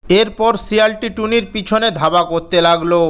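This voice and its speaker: High, clear male cartoon storyteller. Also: moderate pace, a bit bright. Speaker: story narrator. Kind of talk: scripted children's story narration.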